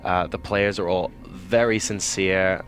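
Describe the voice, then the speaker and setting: Chatty esports caster man, lowish voice. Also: fairly even intonation, fairly bright tone. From Hong Kong. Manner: quick, chatty and enthusiastic, with frequent fillers. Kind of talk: casual interview answers. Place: busy esports event hall.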